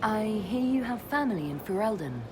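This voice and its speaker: An actress playing a composed female military leader in a video game, questioning companions in a measured, dry way. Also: mid-range voice, lively intonation, lightly acted. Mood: curious, composed. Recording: clear background ambient noise.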